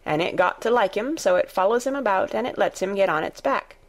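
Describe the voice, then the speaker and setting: A woman's voice: medium pitch, animated, a little fast, close to an everyday voice. A woman putting on a chatty Yorkshire maid. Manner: homely, outspoken, broad dialect. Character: good-tempered chatty young Yorkshire maid.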